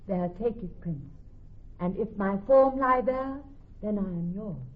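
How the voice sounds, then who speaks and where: An actress playing a poised, commanding young heiress in a classic Shakespearean radio drama. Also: female voice, mid-range voice, lively intonation, a little slow, close to an everyday voice. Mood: formal, poised. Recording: muffled old radio recording.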